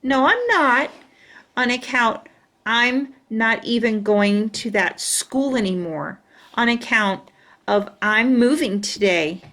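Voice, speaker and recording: A woman's voice: on the high side, animated, slow, bright, forceful tone, full character voice. A woman voicing a stubborn, dramatic little girl from a storybook, with childish, insistent phrasing. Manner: childish grammar, emphatic and insistent. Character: stubborn, dramatic little girl narrating her own life.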